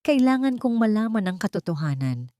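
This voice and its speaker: A woman's voice: medium pitch, very animated, normal speed, warm tone, dry recording, clean studio sound, pitched above the performer's own voice, lightly acted. A woman voicing a troubled, guilt-ridden rich woman seeking the truth in an audio story. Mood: shaken, pleading.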